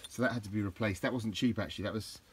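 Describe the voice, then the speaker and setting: A middle-aged man with a low voice, rambling through a casual hands-on garden demonstration with lots of restarts. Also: fairly even intonation, very fast, breathy edge. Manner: rambling, with many restarts and fillers. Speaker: DIY vlogger. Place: outdoors in a garden.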